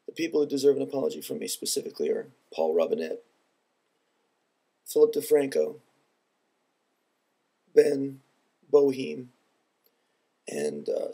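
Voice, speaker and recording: A man speaking in an earnest, measured and reflective way in a personal vlog, his voice congested from a head cold. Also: very deep voice, vocal fry, dark tone, husky. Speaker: video blogger.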